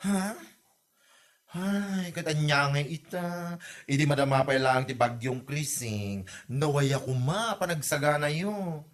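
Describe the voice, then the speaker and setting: A man's voice: fairly high pitch, slow. A man acting a scolding, gossipy villager in an Ilocano comedy audio drama, with an Ilocano accent. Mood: scolding, mocking.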